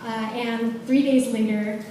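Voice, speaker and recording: A woman's voice: fairly high pitch, steady tone, slow, dark tone, a little hiss or hum. A woman in her forties from the Philippines, a guest speaker sharing a personal testimony in a chatty, self-deprecating way. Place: large church hall. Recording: echoey hall, distant mic.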